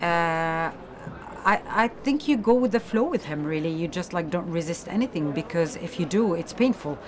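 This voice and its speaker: A woman's voice: on the low side, lively intonation, normal speed, slight background noise. A woman actress answering interview questions in a thoughtful, casual way. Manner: thoughtful, casual, with many likes.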